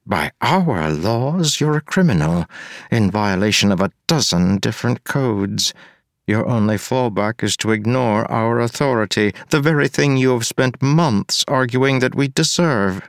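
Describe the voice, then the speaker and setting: Gloating slimy mayor, slow and pompous, higher voice, a guy doing him. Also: lively intonation, dry recording, clean studio sound. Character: smug scheming male city mayor, gloating.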